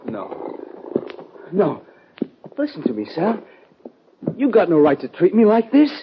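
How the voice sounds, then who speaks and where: A man playing a delirious young cowboy in a 1950s radio western, rambling and halting in rustic speech. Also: sits high, wildly swooping pitch, dull audio, heavily acted. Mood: delirious, agitated. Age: young adult. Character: delirious young cowboy, sun-struck and pleading. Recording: old radio recording with hiss and clicks.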